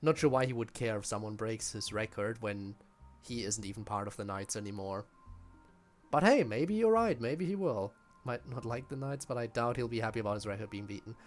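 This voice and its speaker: A man's voice: lowish voice, fairly quick. Guy streaming a game, dry and blunt, just chatting as he plays, faint music behind. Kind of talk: casual live commentary and reading game lines aloud.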